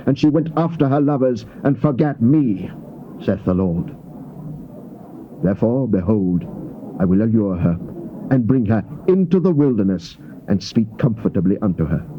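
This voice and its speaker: A man giving a solemn, declamatory, theatrical dramatized reading of King James scripture as its narrator. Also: medium pitch. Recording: dull, noisy old cassette tape.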